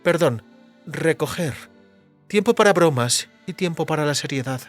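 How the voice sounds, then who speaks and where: A woman's voice: low-pitched, expressive, normal speed. A haughty sorceress in an audio drama. She is demanding and teasing with her lover, phrasing everything ornately and imperiously, with music playing behind her. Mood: indignant, lecturing.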